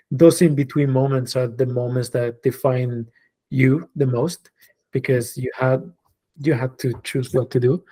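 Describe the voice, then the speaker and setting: A man's voice: mid-range voice, warm tone. A man in his forties from Spain, whose first language is Spanish, talking as a guest on a podcast about his path as a designer, reflective and loose, with many fillers and restarts. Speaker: guest, designer and creative director. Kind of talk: casual podcast conversation.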